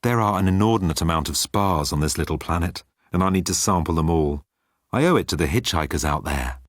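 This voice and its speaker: A man's voice: low-pitched, animated, brisk, dry recording, clean studio sound. Man playing a glib, easygoing alien hitchhiker. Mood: feigned regret. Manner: casual, glib. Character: easygoing hedonistic male alien travel writer.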